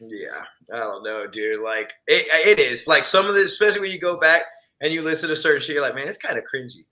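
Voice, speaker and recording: Bright-voiced laid-back young man. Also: fairly high pitch, expressive, fast talker. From Texas, born in Ecuador. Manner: laid-back, slangy, laughs easily. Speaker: musician guest. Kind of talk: casual chat.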